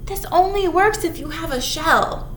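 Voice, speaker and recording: A woman voicing a bunch of fussy, excitable little snails from a picture book, exclaiming urgently. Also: extremely high pitch, measured pace. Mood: scolding. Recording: roomy echo with background noise.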